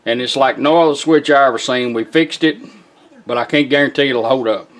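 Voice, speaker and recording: A man with a Southern US accent giving a casual, plain-spoken, unhurried product demonstration as a radio restorer. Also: medium pitch.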